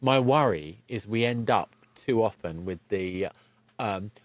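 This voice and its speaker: A man with a fairly low voice talks as a podcast host in a dry, close recording. He speaks conversationally and haltingly, reworking his phrases as he goes. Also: no echo.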